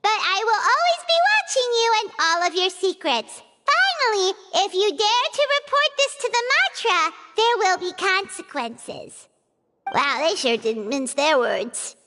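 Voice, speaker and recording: Squeaky, excitable little fairy girl. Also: a little slow, in a large hall, background noise, heavily acted. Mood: reading dramatically. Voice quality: squeaky, childlike. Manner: exclamatory, eager, speaks of herself by name. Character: small chirpy flying fairy girl companion, excitable and curious. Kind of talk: cartoonish game voice acting.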